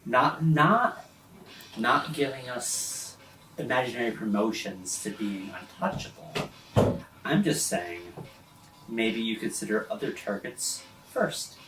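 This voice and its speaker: A man playing a firm, bargaining high school student in a tabletop game, recorded off-mic in a roomy space. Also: expressive, takes it slowly, bright, forceful tone, far from the mic. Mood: firm, defiant. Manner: firm, negotiating. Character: determined high school kid bargaining with a bully. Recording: roomy, off-mic, faint background noise.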